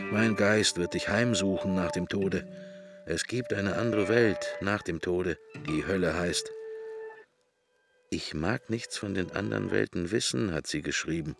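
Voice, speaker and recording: A man's voice: deep voice, gravelly. An actor playing a pensive middle-aged man in a radio drama, musing to himself wryly and morbidly in short, broken fragments. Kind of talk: German radio drama, interior monologue.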